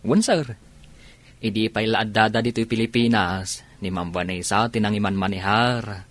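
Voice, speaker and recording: A man's voice: lowish voice, moderate pace, fairly bright tone. A man playing a loyal, respectful family driver in an Ilocano audio drama, with an Ilocano accent. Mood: deferential.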